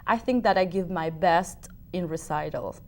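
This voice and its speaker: Uruguayan woman, soft-pitched and hesitant. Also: moderate pace, slight background noise. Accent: Uruguayan Spanish. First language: Spanish. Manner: hesitant, many restarts and fillers. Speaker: opera singer, guest. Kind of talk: casual interview answers.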